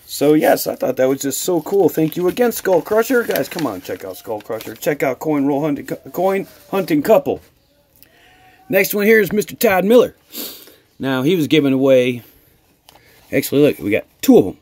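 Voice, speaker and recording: An American man, a hobby vlogger with a husky voice, chatting casually and appreciatively to viewers as he shows things on camera. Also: fairly high pitch, big pitch swings, moderate pace, a little mellow. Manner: enthusiastic, appreciative. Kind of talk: casual chat to camera while opening mail.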